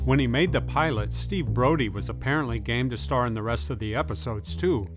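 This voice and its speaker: Male documentary narrator over clear guitar music. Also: mid-range voice, brisk. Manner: informal, conversational wording. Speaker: narrator and presenter.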